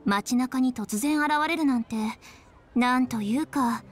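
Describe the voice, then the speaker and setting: High-voiced, businesslike young noblewoman. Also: a little slow, soft background music. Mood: composed, puzzled. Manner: crisp, matter-of-fact, feminine phrasing. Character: brisk, confident young noblewoman, practical and businesslike. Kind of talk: Japanese video game voice acting.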